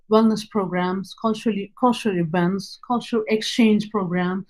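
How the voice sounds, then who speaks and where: A woman from Nepal, a nonprofit founder whose first language is Nepali, giving an earnest pitch presentation with occasional fillers and restarts. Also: a little mellow, low-quality recording.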